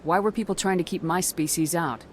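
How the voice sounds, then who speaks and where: A woman as a brisk, blunt space soldier. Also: lowish voice, a little hiss or hum, close to an everyday voice. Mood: blunt, curious. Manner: direct, questioning. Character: blunt, curious female human space soldier. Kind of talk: video game voice acting.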